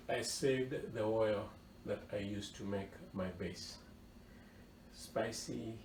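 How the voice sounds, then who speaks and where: A man's voice: lowish voice, a little mellow. A man from southern Georgia with a Georgia accent, casually walking through a recipe as host of a home cooking show, repeating words for emphasis. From southern Georgia, USA. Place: home kitchen. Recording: echoey kitchen, distant mic, noisy background.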